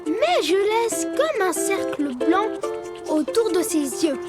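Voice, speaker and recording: Brief: sweet helpful cartoon creature, very high and lilting, slow pace, loud music behind. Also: female voice, sing-song, exaggerated voice. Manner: gentle, explaining step by step. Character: friendly little cartoon creature who draws, gentle and helpful. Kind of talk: children's cartoon acting.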